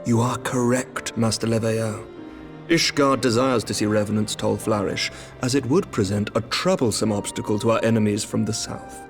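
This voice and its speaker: An actor playing a shrewd, composed middle-aged knight commander who speaks formally and measuredly in archaic diction. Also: male voice, lowish voice, fairly even intonation, moderate pace, faint music behind, lightly acted. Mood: calm, diplomatic. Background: orchestral game score.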